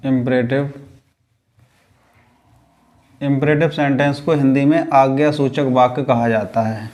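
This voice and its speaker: Slow-paced Indian man teaching grammar. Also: medium pitch, steady tone, darkish tone. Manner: didactic, repeats phrases and asks himself questions then answers. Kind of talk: classroom grammar lesson, explaining step by step.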